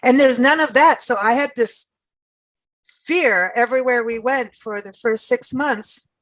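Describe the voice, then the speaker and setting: A woman's voice: on the high side, a little slow, fairly bright tone, no room sound, low-quality recording. A woman radio host with an American accent, talking in a chatty, rambling way with frequent restarts and laughs. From South Africa. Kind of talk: casual conversational interview.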